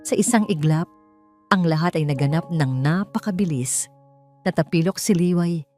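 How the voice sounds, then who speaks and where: A woman's voice: on the low side, normal speed, mellow, soft background music. A woman reading a scripted emotional story as a dramatic narrator.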